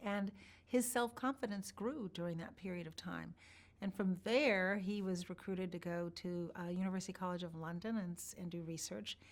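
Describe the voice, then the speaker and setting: Woman, low-pitched, interview guest, measured pauses, thoughtful recollection. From born in Iran, grew up partly in Chicago. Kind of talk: reflective personal storytelling.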